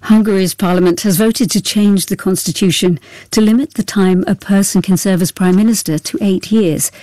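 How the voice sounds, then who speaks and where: A woman reading a radio news bulletin in a measured, formal way. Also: medium pitch, steady tone, mellow, dry recording. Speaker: newsreader.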